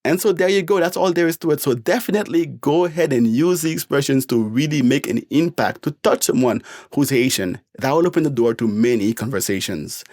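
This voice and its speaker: A man's voice: mid-range voice, fairly quick, hardly any pauses, darkish tone, dry recording. A man teaching a beginner language lesson in an upbeat, encouraging way, spelling out each phrase carefully. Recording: dry, faint music behind.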